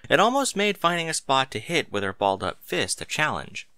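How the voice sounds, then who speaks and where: A man reading a story aloud as narrator, in an expressive scripted style. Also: on the high side, fairly quick, clean tone, no echo, clean studio sound.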